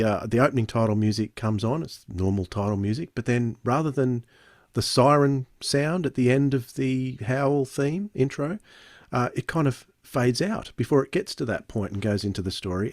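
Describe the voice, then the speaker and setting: A man's voice: medium pitch, darkish tone, dry recording, clean studio sound. A man hosting a podcast, reviewing casually and often doubling back on his words.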